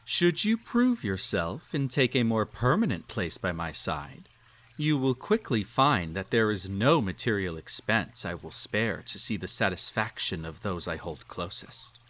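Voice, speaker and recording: Cruel male emperor, acted by a man, lowish voice, pompous and teasing, speaking intimately to the listener in audio drama. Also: very animated, a little slow, fairly bright tone. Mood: grandiose, proud. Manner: pompous, formal, teasing, patronizing. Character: cruel, possessive male emperor, silky and condescending.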